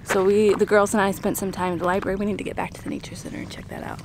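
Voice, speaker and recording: A woman in her thirties chats easygoing and upbeat in a travel vlog, outdoors in front of a handheld camera. Her voice sits at a middle pitch, with clear background noise behind her. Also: very fast. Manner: easygoing, upbeat, adds tips. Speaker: vlog co-host. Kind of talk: casual travel vlog chat. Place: outdoors in the desert. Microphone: camera held at arm's length by her partner.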